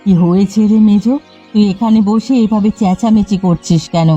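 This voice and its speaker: A woman's voice: mid-range voice, steady tone, normal speed, dark tone, slightly rough, lightly acted. A woman voicing a kind, firm eldest sister, a village fisherwoman in a cartoon. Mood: firm, mildly annoyed. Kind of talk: Bengali cartoon dialogue. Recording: slightly muffled audio with background music. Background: background music.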